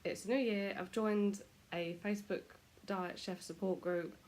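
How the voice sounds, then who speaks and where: A woman's voice: medium pitch, steady tone, unhurried, a little mellow. A British woman chatting casually to camera at home, self-deprecating, her nose stuffy from a cold. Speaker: vlogger.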